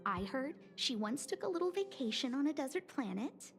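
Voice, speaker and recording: A woman playing a courteous, composed young station leader in a video game, polite and measured, with music behind. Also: high-pitched, big pitch swings. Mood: admiring. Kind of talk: video game character acting.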